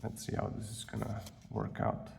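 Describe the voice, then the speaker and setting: A man giving casual, unscripted unboxing commentary as a vlogger, thinking aloud in a halting way with a gravelly voice. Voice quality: gravelly, creaky. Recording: distant mic, faint background noise.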